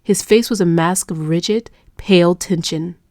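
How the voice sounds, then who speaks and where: Low-pitched, dry-sounding woman narrator. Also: moderate pace, a little mellow, no echo. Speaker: audiobook narrator. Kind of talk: scripted dark romance narration.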